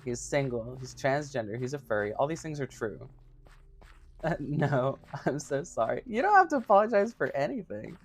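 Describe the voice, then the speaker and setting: A man in his thirties with a somewhat high-pitched voice, chatting casually with livestream viewers in a giggly, chatty, self-mocking way. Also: sing-song, moderate pace. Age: 30s. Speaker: streamer.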